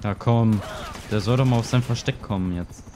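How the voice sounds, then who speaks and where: A man's voice: lowish voice, moderate pace, noisy background. A young man chatting casually with friends over an online game, slangy and laid-back, with short reactions. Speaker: gamer. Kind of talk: casual gaming chat with friends.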